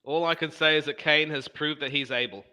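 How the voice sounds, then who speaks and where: A man raised in the UK, chatting casually through a football match in a measured, careful way and enjoying wordplay. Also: fairly high pitch, fairly even intonation, bright, forceful tone. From born and raised in the UK. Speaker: co-host of a football watchalong. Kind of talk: casual chat while watching a match.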